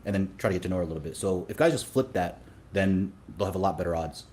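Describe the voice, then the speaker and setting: Man, very low voice, quick relaxed talk, fillers, faint low rumble behind. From Sudan. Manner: fast, relaxed, many fillers and restarts. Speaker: dating coach. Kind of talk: casual interview talk.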